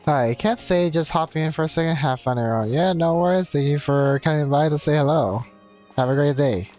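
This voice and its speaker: A man's voice: a little high, brisk, a little mellow, faint music behind. A man casually chatting and reacting as he livestreams a game. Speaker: game streamer.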